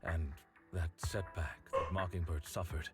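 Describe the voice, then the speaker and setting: An actor playing a stern, principled agent in a video game, speaking gravely, formally and deliberately. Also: male voice, sits low, fairly even intonation. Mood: solemn, troubled. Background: game music.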